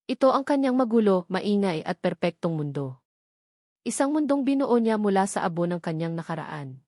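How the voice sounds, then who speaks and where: Woman, Filipino accent, storyteller, scripted dramatic narration, mid-pitched voice, very dry recording. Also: a little mellow, dry recording.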